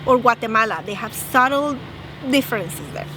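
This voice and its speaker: High-voiced Mexican woman on the street. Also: normal speed. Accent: Mexican Spanish. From northern Mexico. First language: Spanish. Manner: chatty, explains at length with restarts. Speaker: interviewee. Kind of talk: casual street interview. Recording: outdoor street noise.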